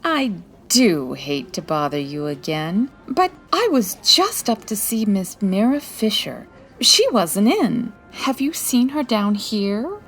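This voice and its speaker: Actress doing a breezy 1940s girl detective, teasing, animated, bit of music behind. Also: mid-range voice, takes it slowly. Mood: brisk, confident. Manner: breezy, teasing, self-assured. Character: wry, confident female private detective from 1949. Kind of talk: 1940s radio detective acting.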